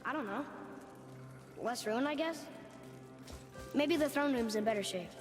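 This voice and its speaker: A man's voice: very high voice, lively intonation, unhurried, over music. A young male actor playing a curious, eager demigod boy in a video game, youthful, inquisitive and earnest in delivery. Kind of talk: video game character acting.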